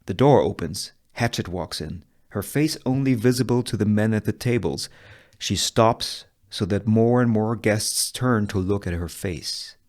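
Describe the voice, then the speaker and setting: Slow, low-pitched male screenplay narrator. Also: darkish tone. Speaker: script reader, narrator. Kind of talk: scripted narration, screenplay table read.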